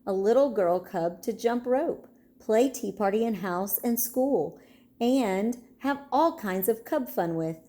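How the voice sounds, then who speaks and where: A woman narrating a children's storybook in an expressive, storytelling manner. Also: fairly high pitch, takes it slowly.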